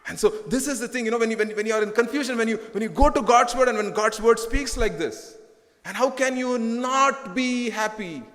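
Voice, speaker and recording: A man with an Indian English accent preaches in a high, ringing voice that carries a long echo through a church hall. He talks fervently, circling back over his phrases and swelling into emphatic peaks. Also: a little fast, fairly bright tone. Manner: fervent, repetitive, rising to emphatic bursts. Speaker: pastor. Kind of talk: sermon.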